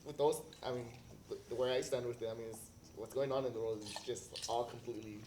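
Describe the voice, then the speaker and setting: A man's voice: medium pitch, steady tone, fast, dark tone. A man co-hosting a podcast, talking in a casual, slangy storytelling way and quoting dialogue as he goes. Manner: informal, slangy, acts out quotes. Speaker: podcast co-host. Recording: echoey room, faint background noise.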